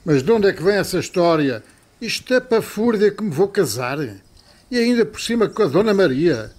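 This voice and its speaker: Radio soap grocer played by a man: European Portuguese, brusque, exasperated, highly animated intonation. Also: normal speed. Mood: baffled, indignant. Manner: brusque, flustered, emphatic. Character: exasperated, blustering male neighbourhood grocer. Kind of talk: old-fashioned radio soap acting.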